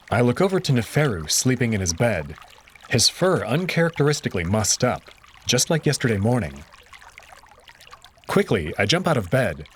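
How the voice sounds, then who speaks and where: A man narrating a story in the first person in an expressive storytelling manner. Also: mid-range voice, a little hiss or hum. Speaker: audio drama narrator.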